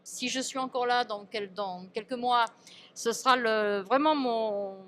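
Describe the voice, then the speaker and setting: A woman speaking as an elected local official in an informal interview, halting and often repeating and restarting her words. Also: fairly high pitch, fairly bright tone, slight background noise. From France.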